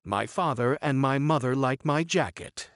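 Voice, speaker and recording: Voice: mid-range voice, normal speed, dry recording, studio quality, natural voice. An actor playing a pretentious male art teacher in a scripted language app line. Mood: pompous.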